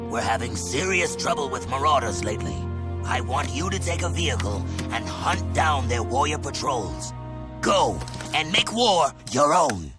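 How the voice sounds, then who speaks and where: A stern warrior king in a game. He speaks in measured, commanding lines with lively pitch. Music plays beneath.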